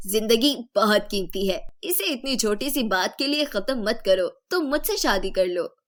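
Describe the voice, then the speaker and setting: A woman's voice: fairly high pitch, lively intonation, normal speed, natural voice. A woman voicing a kind, earnest young man who speaks in a reassuring way.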